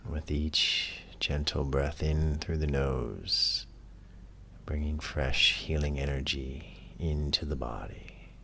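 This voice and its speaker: A man leading a guided relaxation as a yoga teacher, speaking in a calm, measured, soothing way with long pauses between phrases. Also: rumbling low, gravelly, slight background noise.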